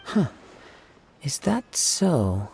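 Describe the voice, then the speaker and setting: A man's voice: a little high, very animated, extremely slow, creaky, a little mellow, a little hiss or hum, exaggerated voice. Composed, courteous young swordsman. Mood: thoughtful. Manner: polite, composed, formal. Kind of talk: video game character acting. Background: music.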